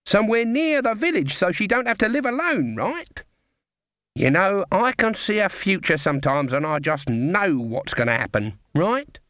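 A man's voice: sits high, sing-song, normal speed, a bit bright, dry recording, studio quality, pitched above the performer's own voice. A man reading a story, voicing a shrewd old witch woman who talks in a sing-song, falsely innocent country dialect. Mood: quietly menacing.

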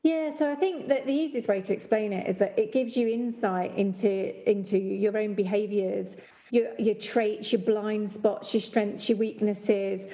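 A woman's voice: mid-range voice, steady tone, a little slow, dark tone, rough recording. A woman in her fifties from East London, an executive coach, explaining with enthusiasm as a podcast guest. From East End of London.

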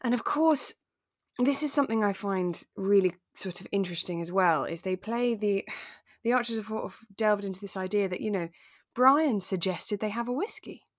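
A woman co-hosting a podcast, talking through a drama in a relaxed, thoughtful, explanatory way. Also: mid-range voice, normal speed, no echo, studio quality. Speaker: podcast co-host. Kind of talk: relaxed conversational discussion of a radio drama.

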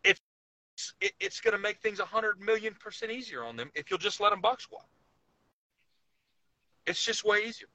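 Male podcast co-host, casual but opinionated and emphatic, with a sharp, driving, raised-pitch voice. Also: moderate pace, pauses often, low-quality recording. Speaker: co-host, powerlifting coach.

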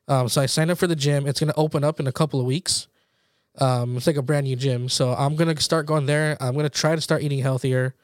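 A man's voice: mid-range voice, steady tone, fast, no echo, studio quality. A man in his late twenties chatting casually as a podcast co-host, informal, slangy and self-deprecating. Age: late 20s. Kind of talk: casual chat between friends.

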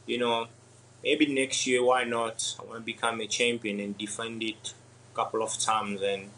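A young man from Congo with French or Swahili as his first language, answering interview questions in a halting way with many pauses and laughs. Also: medium pitch, flat delivery, bright, forceful tone, a little hiss or hum, low-quality recording. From Democratic Republic of the Congo. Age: young adult. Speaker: MMA fighter guest.

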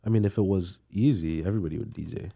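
Young man, deep mellow voice, easygoing, measured, dry sound. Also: vocal fry, breathy edge, no echo. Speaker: podcast guest, DJ. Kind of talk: casual podcast chat.

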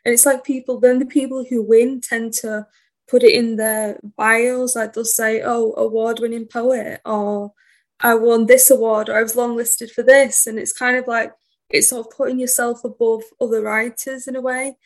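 Shy, higher-voiced woman poet. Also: flat delivery, moderate pace. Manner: shy, soft-spoken, rambling. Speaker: poet guest. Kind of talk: casual conversational interview.

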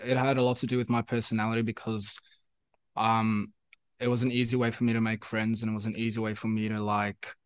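A man's voice: fairly low pitch, smooth, dry recording. A young man from South Africa whose first language is Afrikaans, speaking English with a South African accent in a casual interview, rambling and repeating himself with many fillers. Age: young adult. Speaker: musician guest.

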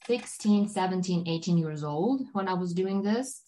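A woman's voice: lowish voice, lively intonation, normal speed, dark tone. Rambling woman. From Germany. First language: German. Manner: rambling, filler-heavy storytelling with many "like"s. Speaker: guest author. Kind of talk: casual podcast chat.

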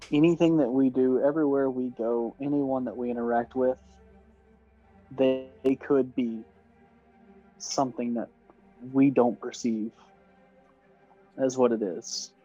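Mellow-voiced male co-host, lo-fi sound. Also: medium pitch, little pitch movement, measured pace, pauses often, faint music behind. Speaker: podcast co-host. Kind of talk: casual podcast chat. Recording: dull lo-fi audio.

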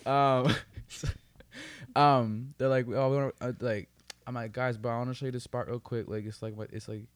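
A man's voice: mid-range voice, flat delivery, normal speed, no room sound. A young man co-hosting a podcast, telling stories in casual, profane, joking slang. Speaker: podcast co-host. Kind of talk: casual banter and storytelling.

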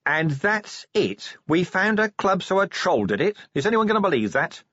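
Audiobook police commander, middle-aged man, played by the narrator: combative, blunt, sardonic, highly animated delivery. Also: normal speed, no room sound, highs cut off, full character voice. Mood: angry, forceful. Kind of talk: audiobook character acting.

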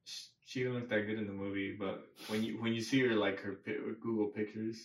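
A man's voice: fairly low pitch, level tone, fairly quick. A man in a casual group chat, speaking bluntly and swearing. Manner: blunt, profane. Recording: echoey room, distant mic, faint background noise.

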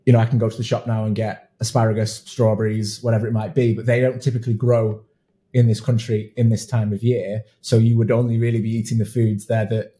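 A man's voice: lowish voice, level tone, fast, mellow. A man hosting an interview, chatty and affirming, often restarting his sentences. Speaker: podcast host. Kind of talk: conversational interview.

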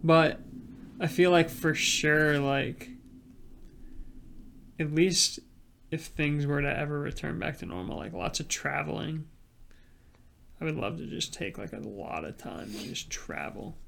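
A man's voice: on the high side, lively intonation, a little slow, vocal fry, pauses often, fairly bright tone. A man chatting casually as a podcast co-host, informal and tentative as he thinks aloud, with faint noise behind him. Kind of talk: casual chat.

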